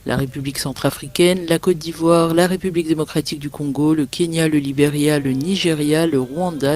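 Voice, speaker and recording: A man's voice: a little high, a little mellow. A man reading a scripted voice-over for news reports.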